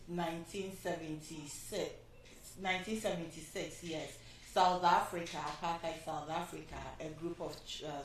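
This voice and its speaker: A woman hosting a panel discussion, speaking at an unhurried pace with fillers and pauses. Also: sits low. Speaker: host. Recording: echoey room from a distance, faint noise.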